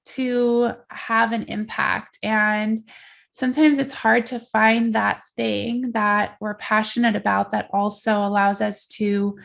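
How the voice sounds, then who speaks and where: A woman's voice: medium pitch, level tone, slow, creaky, fairly bright tone. A woman from the Netherlands, a life coach guest, talking casually and reflectively in an interview.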